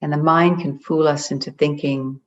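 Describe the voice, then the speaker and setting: A meditation guide leading a group in a gentle, deliberate way, leaving long pauses between phrases. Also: mid-range voice, steady tone. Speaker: meditation guide, spiritual coach. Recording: dull, muffled lo-fi audio.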